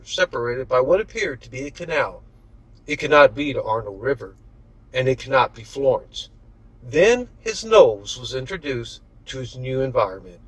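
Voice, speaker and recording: A man's voice: medium pitch, no echo, poor audio. A man reading his own novel aloud as scripted audiobook narration.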